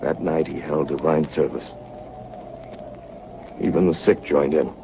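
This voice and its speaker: A weary, deep-voiced polar officer, vintage radio. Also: male voice, fairly even intonation, a little slow, vocal fry, full character voice. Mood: sombre recounting. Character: weary, loyal chief officer of stranded Arctic expedition. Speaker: radio actor and narrator. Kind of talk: 1930s radio drama acting and narration. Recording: dull, noisy 1938 radio broadcast recording.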